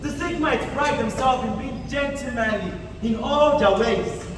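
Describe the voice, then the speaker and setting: An actor playing a grandiose, declamatory narrator of college gentlemen in a stage play. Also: male voice, extremely high pitch, unhurried. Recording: echoey, noisy recital hall from far off.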